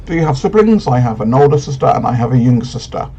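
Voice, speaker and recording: A man, answering personal questions in a frank, hesitant way with many pauses and ums. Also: mid-range voice, very animated, warm tone, breathy edge. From England. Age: 30s. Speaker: vlogger. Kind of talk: casual Q&A vlog.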